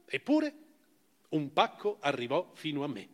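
A man giving a dramatic, declamatory theatrical reading of a memoir, with pauses and strong swings of emphasis. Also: a little high, huge pitch swings. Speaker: actor reading literary prose on stage. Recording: live string music under the voice. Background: violin music.

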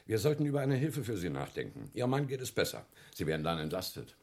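An actor in a radio play, playing a courteous, busy family doctor who speaks in a polite, measured way. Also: male voice, on the low side, huge pitch swings, gravelly, exaggerated voice. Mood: polite, hurried. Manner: polite, measured, formal address. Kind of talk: German radio play acting.

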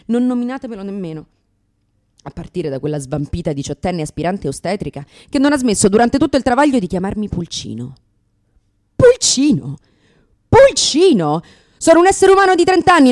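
A woman's voice: medium pitch, sing-song, brisk, darkish tone, lightly acted. An actress in a radio theatre monologue playing a brash, sarcastic, exasperated new mother in her thirties. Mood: indignant, sardonic. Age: 30s.

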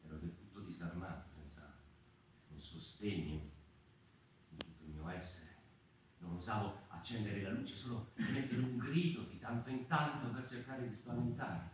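An actor playing an anguished, sleepless man haunted by mice, in a theatrical monologue with halting, deliberate phrasing and dramatic pauses. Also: on the low side, lightly acted. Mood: weary, fearful. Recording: roomy, distant stage recording with faint noise.

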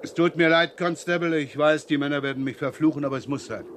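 An actor playing a gruff, sardonic middle-aged police inspector in a 1970s radio crime drama, muttering dryly. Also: male voice, fairly high pitch, fast talker, a bit bright, a little hiss or hum, natural voice. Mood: serious, resolute.

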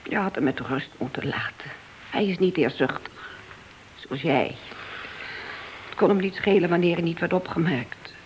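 A woman's voice: on the low side, expressive, normal speed, pauses often, a little hiss or hum, lightly acted. An actress playing the bitter, wounded wife of a policeman in a radio play, terse and reproachful.

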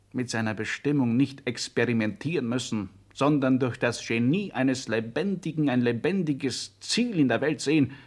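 An actor playing a fervent, uncompromising idealist in a radio drama, speaking in a solemn, insistent, declamatory way. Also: male voice, mid-range voice. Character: fervent, high-minded, uncompromising male idealist. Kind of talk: 1960s German radio drama acting.

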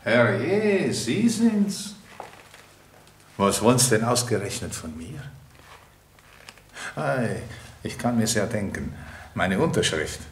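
An actor playing a gruff but good-hearted, stubborn shopkeeper in a radio drama, blunt and colloquial, with a Viennese accent. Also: male voice, lowish voice, very animated, slow, long pauses, exaggerated voice. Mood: gruff, resigned. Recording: roomy echo, faint background noise.

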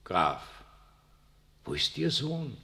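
An imperious aging pope in a 1960s radio drama, lofty and cold. His pitch swings very widely, and the voice rings with a long reverb. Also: very animated, extremely slow, gravelly, bright, forceful tone, heavily acted. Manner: lofty, cold, uses royal we. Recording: long reverb of a large room.

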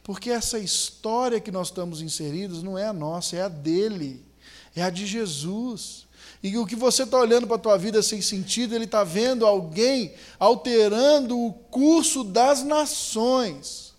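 Animated higher-voiced preacher man. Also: unhurried. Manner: animated storytelling, builds to emphatic climaxes. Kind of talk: sermon with storytelling.

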